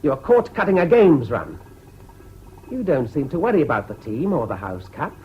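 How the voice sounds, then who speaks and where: An actor playing a bullying, contemptuous school prefect with an English public-school accent, barking orders in a radio drama. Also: male voice, fairly high pitch, very animated, normal speed, husky, highs cut off, full character voice. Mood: scornful, commanding. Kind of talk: 1970s BBC radio drama acting. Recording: faint hiss of old radio broadcast.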